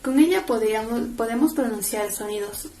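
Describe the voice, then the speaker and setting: A young woman explains material as a student giving a class presentation. Her voice is a little high. The sound has a strong room echo. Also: brisk, warm tone.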